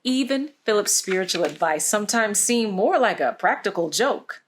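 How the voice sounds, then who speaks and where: A woman reading a storybook aloud for children, with expressive, deliberate phrasing. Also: medium pitch, slight background noise. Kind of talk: scripted storybook narration for children.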